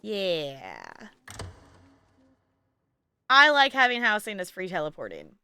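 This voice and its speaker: A woman's voice: mid-range voice, wildly swooping pitch, slow, sharp tone, clear, resonant tone, no room sound. A woman chatting casually and animatedly as she streams a video game live and talks to her viewers. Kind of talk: casual live stream chat with gameplay commentary.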